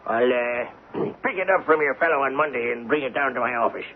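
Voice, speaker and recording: A man's voice: medium pitch, normal speed, close to an everyday voice. Hemming, genteel businessman in old radio drama, acted by a man. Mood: pleased, businesslike. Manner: hemming, chuckling, genteel speech. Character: affluent coin-collecting businessman, polite, excitable, hesitant with his maid. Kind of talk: 1970s radio drama acting. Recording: noisy, phone-like old radio recording.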